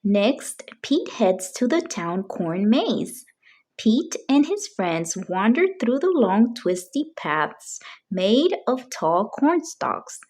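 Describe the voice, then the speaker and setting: A woman reads a children's story aloud. Her pitch moves around in a lively way, and she takes her time, phrasing each sentence deliberately for young listeners. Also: husky.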